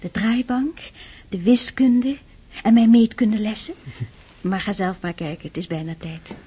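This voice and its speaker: An actress playing a devoted, slightly nervous princess in a radio drama, with a breathy, husky voice. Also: female voice, mid-range voice, measured pace, warm tone, dull audio. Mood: fond, nervous.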